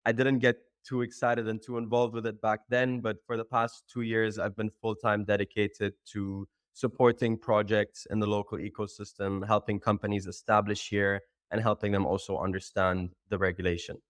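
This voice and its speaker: A man introducing himself as a podcast guest in a calm, steady way. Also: fairly low pitch, moderate pace, hardly any pauses, no room sound.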